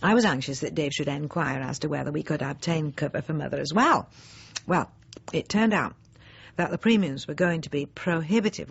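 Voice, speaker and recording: A woman's voice: sits low, vocal fry, husky, heavily acted. A woman acting a self-righteous, snobbish middle-aged housewife in a confiding radio drama monologue, prim, pointed and self-justifying. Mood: rueful, self-justifying. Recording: slightly dull, lo-fi radio recording.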